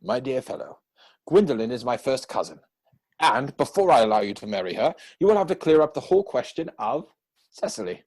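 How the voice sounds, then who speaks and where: A man acting a witty, idle young gentleman from a period comedy. His voice is slightly husky and swings widely in pitch, with arch, playful self-satisfaction in a theatrical reading. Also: big pitch swings, a little fast. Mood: teasing, probing. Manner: mannered, arch, theatrical delivery.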